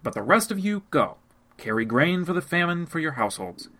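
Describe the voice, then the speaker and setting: A man's voice: on the high side, sing-song, brisk, sharp tone, full character voice. A man reading the part of a stern male ruler in a Bible passage, raising his voice to deliver commands. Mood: commanding. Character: stern powerful male ruler testing strangers.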